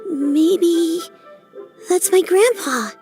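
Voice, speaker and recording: A woman's voice: extremely high pitch, drawn-out words, mellow, faint music behind, heavily acted. A woman voicing a shy, earnest young girl who speaks hesitantly. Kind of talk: video game voice acting.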